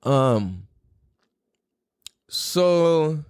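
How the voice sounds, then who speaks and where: Animated podcast guy, kinda higher voice, pitch all over, super casual and sweary, dry close sound. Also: drawn-out words, clear, resonant tone, no echo. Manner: animated, rambling, frequently swearing. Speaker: podcast host. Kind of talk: casual opinionated podcast talk.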